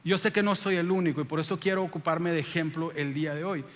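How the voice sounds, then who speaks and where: A long hall reverb surrounds a Salvadoran man preaching in Spanish. His voice sits a little high for a man. He delivers his sermon as a pastor, putting questions to his listeners and repeating phrases for weight. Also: steady tone, fast talker, fairly bright tone. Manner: direct address with rhetorical questions and repetition.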